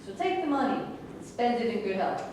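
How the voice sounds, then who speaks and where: A woman's voice: on the high side, very animated, moderate pace, a little mellow. A woman in a staged play reading, playing a smug, menacing racetrack racketeer. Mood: smug, threatening. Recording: distant, very echoey room with noise.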